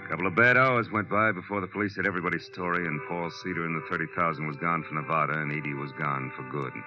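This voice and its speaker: An American actor playing a world-weary, tough private detective in a 1940s radio noir, clipped, colloquial and wry. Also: male voice, sits low, fast, close to an everyday voice. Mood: weary, reflective. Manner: hard-boiled, clipped, colloquial. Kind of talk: 1940s radio acting, first-person noir narration. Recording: dull old radio recording, music behind.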